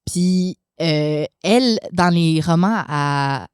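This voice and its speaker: Young québécoise woman, low voice, dry close sound, chatty and laughing, slips in english words. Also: takes it slowly, clear, resonant tone, no room sound. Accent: Québécois French. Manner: chatty, mixes English expressions, laughs often. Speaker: podcast guest. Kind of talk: casual conversation.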